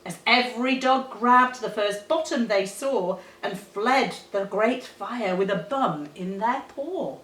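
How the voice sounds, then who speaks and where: Female storyteller for rhyming children's tale, expressive and deliberate, recorded at a distance in a roomy space. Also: fairly high pitch. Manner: animated, dramatic, deliberate storytelling. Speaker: teacher reading a storybook. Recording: roomy, echoey, distant microphone.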